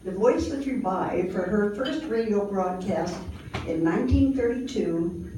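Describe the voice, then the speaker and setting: A woman's voice: mid-range voice, mellow. A woman announcer reading a scripted introduction for a radio comedy show. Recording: very echoey, distant, faintly noisy room.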